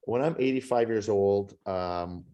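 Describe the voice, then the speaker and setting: A man in his thirties answering interview questions in a relaxed, reflective way, often repeating words as he gathers his thoughts. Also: fairly low pitch, measured pace. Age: 30s. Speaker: podcast guest.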